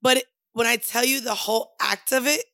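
Woman podcast host, chatty and upbeat, voice on the high side, dry studio sound. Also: brisk, vocal fry, fairly bright tone, no echo. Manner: chatty, upbeat, asks personal questions. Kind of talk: casual podcast chat.

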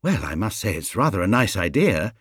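A man's voice: deep voice, big pitch swings, a little fast, fairly bright tone, husky, dry recording, studio quality, exaggerated voice. A British man voicing a well-meaning married woman who is anxious to sound positive in a storybook. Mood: forced enthusiasm. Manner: hasty, trying to sound enthusiastic.

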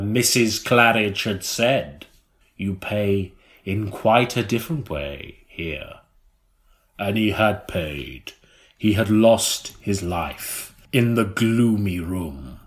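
A man narrating an audiobook in a theatrical, melodramatic style, with dramatic pauses. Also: lowish voice.

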